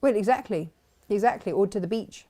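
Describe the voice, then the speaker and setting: A woman's voice: mid-range voice, a little fast, warm tone. A woman co-hosting a casual crafting chat, chatty and playful, laughing often as she tells stories. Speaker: co-host.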